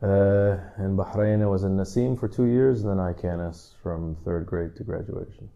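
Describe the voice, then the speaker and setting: A man in his thirties from the Netherlands, a drummer answering interview questions in a relaxed, rambling way with many asides. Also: sits low, moderate pace, creaky, muffled recording. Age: 30s.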